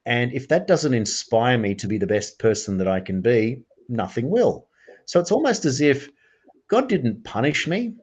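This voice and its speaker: A man's voice: medium pitch, darkish tone, highs cut off. A man hosting a reflective spiritual discussion, speaking earnestly and emphatically with rhetorical questions. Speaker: interview host.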